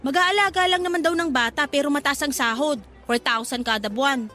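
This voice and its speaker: A woman's voice: high voice, brisk, sharp tone, soft background music. A woman playing a gossipy, pragmatic young shop co-worker in a radio drama, talking in a sassy, slangy way. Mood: chatty.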